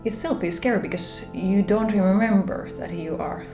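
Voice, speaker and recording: A woman with a Finnish accent, speaking English as a second language, shares gentle reflections on a spiritual journey in a soft, pausing manner. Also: mid-range voice. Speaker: spiritual vlogger. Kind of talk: gentle reflective monologue to camera. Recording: roomy echo with soft background music.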